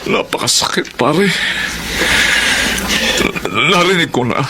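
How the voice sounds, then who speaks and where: Wounded husband, higher-pitched, noisy recording. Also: male voice, lively intonation, takes it slowly. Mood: bitter, heartbroken. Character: betrayed husband, emotional and wounded young man. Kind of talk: Filipino radio drama acting.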